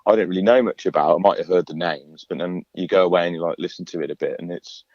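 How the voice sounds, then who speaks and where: A singer-songwriter guest in a radio interview, talking in a relaxed, chatty way with lots of fillers and restarts in his low voice. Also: fast, dry recording, dull audio. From England.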